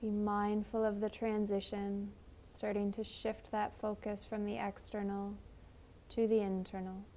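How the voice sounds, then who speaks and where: A woman leading a guided meditation in a gentle, soothing, unhurried manner with long pauses. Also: mid-range voice, monotone, a little hiss or hum.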